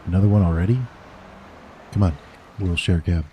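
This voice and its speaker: An actor playing a blunt, profane lead detective giving orders in an audio drama. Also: male voice, deep voice, fairly even intonation, brisk, highs cut off, a little hiss or hum, lightly acted. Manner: blunt, swearing, commanding.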